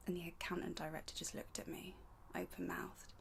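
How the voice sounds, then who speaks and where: A woman acting a dramatic monologue as a frank, sweary, emotionally raw young woman, breathy and often dropping to a near whisper. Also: fairly low pitch, little pitch movement, fairly quick, exaggerated voice. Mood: confiding, shaken.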